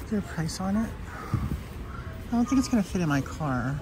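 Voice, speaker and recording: A woman's voice: fairly low pitch, sing-song, normal speed, low-quality recording. A woman vlogger chatting casually to herself as she browses a large thrift store, filmed on a handheld phone. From Canada. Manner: chatty, musing aloud while browsing. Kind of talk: casual thrift shopping commentary. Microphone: handheld phone camera. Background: background music.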